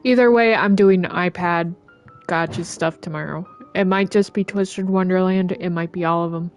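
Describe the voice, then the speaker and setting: A woman game streamer chatting casually to viewers, halting as she thinks aloud. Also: lowish voice. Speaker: game livestreamer. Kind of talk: casual livestream chat. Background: faint game music.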